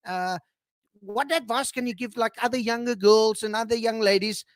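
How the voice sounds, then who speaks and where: A woman's voice: a little high, steady tone, normal speed, no room sound. A woman co-hosting an interview, asking questions casually and repeating words. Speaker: co-host.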